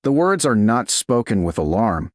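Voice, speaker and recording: A man with a low voice reads romance fiction in measured, deliberate phrases. His intonation swings widely as he narrates, and the sound is dry and close. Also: sing-song, no room sound. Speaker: audiobook narrator. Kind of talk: scripted romance narration, slow-burn.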